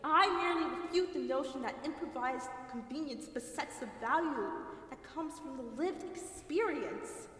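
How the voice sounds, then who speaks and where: A woman's voice: high voice, moderate pace, fairly bright tone. Woman as a lofty demon in cavernous echo. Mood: gently reproachful. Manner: formal, lofty, archaic wording, weary sighs. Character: grave, philosophical demon familiar in human guise, yearning to learn art. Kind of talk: audio drama acting. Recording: distant voice in long echoing reverb.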